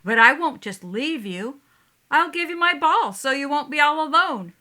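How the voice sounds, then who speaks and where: A woman's voice: sits high, expressive, normal speed, bright, forceful tone. A woman playing a playful, trusting, cheerful young boy with an eager, guileless way of talking in a stage play. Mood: guileless, sincere.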